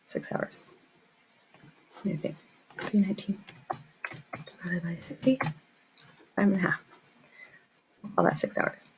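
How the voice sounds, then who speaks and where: A woman's voice: medium pitch, measured pace, long pauses, a little hiss or hum, rough recording. A woman giving an informal tutorial, thinking aloud and muttering to herself as she works through it. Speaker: small business owner teaching pricing.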